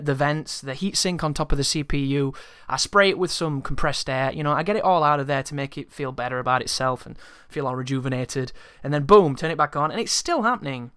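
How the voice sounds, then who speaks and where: A man's voice: fairly high pitch, fairly bright tone, clear, resonant tone, no room sound. A man telling a casual, rambling story to his viewers in an exasperated, sweary way, close to the microphone. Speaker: YouTuber.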